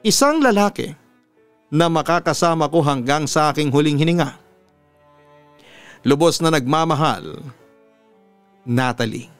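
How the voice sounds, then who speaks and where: A Filipino man reads a heartfelt story as host, in an earnest and measured way. His voice is clean and resonant, sitting a little high for a man. It is recorded close and dry, with music underneath. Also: clean tone, no room sound. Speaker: radio announcer and story narrator, host. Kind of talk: dramatic reading of a listener's letter, sentimental narration.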